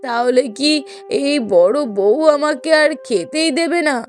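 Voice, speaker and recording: A woman voicing a frail, humble elderly mother in a cartoon story, slow and beseeching. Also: high voice, animated. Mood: worried, forlorn. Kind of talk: cartoon moral story voice acting.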